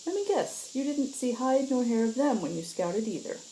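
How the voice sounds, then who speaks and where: A woman's voice: fairly high pitch, big pitch swings, moderate pace, mellow, slight background noise, pitched above the performer's own voice, rough recording. Sardonic, clipped female space soldier, read by a woman. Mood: sardonic, exasperated. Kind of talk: acted audiobook dialogue.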